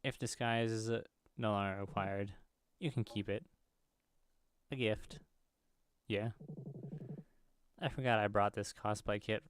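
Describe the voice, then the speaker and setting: A man's voice: on the low side, fairly even intonation, unhurried, pauses often, smooth, no room sound. A man hosting a gaming video, reading visual novel dialogue aloud and now and then stumbling over the words.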